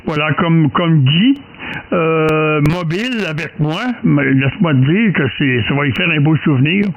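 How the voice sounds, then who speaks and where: A man's voice: on the high side, moderate pace, muffled recording. Quebec man chatting over noisy ham radio. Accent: Quebec French. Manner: rambling, hesitant, repeats himself. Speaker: amateur radio operator leading a group contact. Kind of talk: casual radio chat. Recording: clearly noisy amateur radio recording with clicks.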